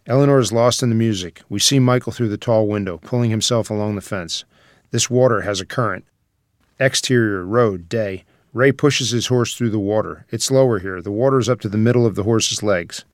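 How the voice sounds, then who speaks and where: A man's voice: fairly low pitch, fairly quick, no room sound, clean studio sound. A man reading out screenplay scene headings and stage directions as a scripted narrator.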